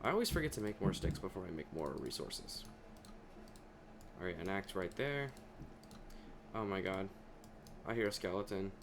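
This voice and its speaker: Young man, low voice, deeper than usual, chatty gameplay talk, faint keyboard typing behind. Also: sing-song, normal speed, long pauses, noisy background. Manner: chatty, talks to himself while playing. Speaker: gaming video creator. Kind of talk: casual gameplay commentary.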